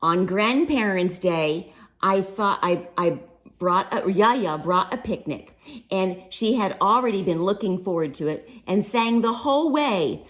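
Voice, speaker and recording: Slow, animated woman reading a storybook. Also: mid-range voice. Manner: asks listeners questions, animated. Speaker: storybook reader. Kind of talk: expressive children's read-aloud narration.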